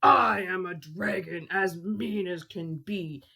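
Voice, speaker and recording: Woman putting on a slow, menacing, hungry dragon. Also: on the low side, gravelly, bright, forceful tone, slightly rough, exaggerated voice. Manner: slow, drawn-out, menacing delivery. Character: mean, hungry male dragon, menacing and boastful.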